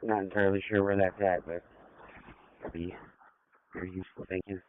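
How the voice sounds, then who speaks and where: A man's voice: sits low, flat delivery, brisk, a little hiss or hum. A man, a police officer, talking in short exchanges over a two-way radio. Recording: tinny narrowband police radio scanner audio.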